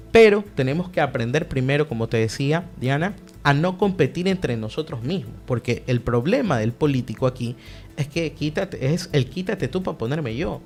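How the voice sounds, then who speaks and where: A young man, answering a radio interview in an emphatic, speech-like way. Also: medium pitch. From Ecuador. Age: young adult. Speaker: political guest, party director.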